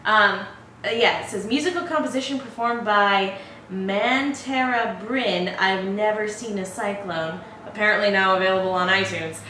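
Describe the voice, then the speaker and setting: A woman's voice: medium pitch, normal speed, sharp tone. A woman hosting a trailer reaction show, talking about films in an informal, chatty way. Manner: informal and chatty, laughs often. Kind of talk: casual film review chat. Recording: very roomy, distant mic, faint noise.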